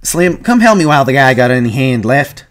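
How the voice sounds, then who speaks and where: A man's voice: medium pitch, very animated, fairly quick, pitched above the performer's own voice, natural voice. A man voicing an anxious, bossy ranch hand, urgent and insistent in rustic speech. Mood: urgent yelling.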